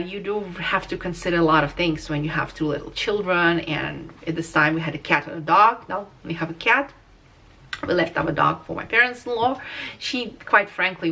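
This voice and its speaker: A woman's voice: lowish voice, a bit bright, recorded from a distance. A woman in her thirties from Russia with a Russian accent, talking through a personal vlog in a hesitant way, with many fillers and restarts. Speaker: vlogger.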